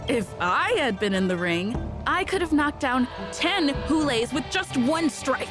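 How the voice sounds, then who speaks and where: A woman's voice: high voice, animated, measured pace, music in the background. A woman voicing a boastful, cheerful young fighter sidekick in a game.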